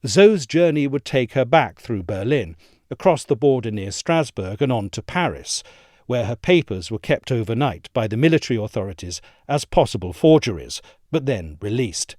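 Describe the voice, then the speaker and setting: A man giving a measured, articulate scripted narration of a history essay. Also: mid-range voice, very animated, a little mellow, dry recording, studio quality. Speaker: essay reader.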